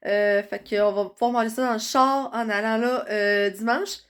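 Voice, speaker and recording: A young woman with a Quebec French accent, chatting excitedly in a casual vlog while congested from a cold. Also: fairly high pitch, moderate pace. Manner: chatty and excitable, many fillers. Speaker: vlogger.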